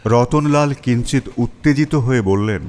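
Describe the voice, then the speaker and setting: A man's voice: mid-range voice, normal speed, darkish tone, slight background noise. A man narrating a scripted story as its storyteller. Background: faint music.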